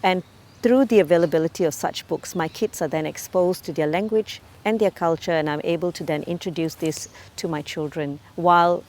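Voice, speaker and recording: A woman in her late thirties from Malaysia, speaking as a community cultural ambassador in a profile interview, measured and earnest with frequent ums and uhs. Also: on the low side, fairly even intonation, darkish tone.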